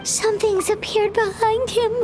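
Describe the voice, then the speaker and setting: A woman voicing a small, excitable, childlike fairy companion in an anime game, squeaky and exclaiming. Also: normal speed, noisy background. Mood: excited alarm. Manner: exclaiming, wide-eyed. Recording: music behind. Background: game music.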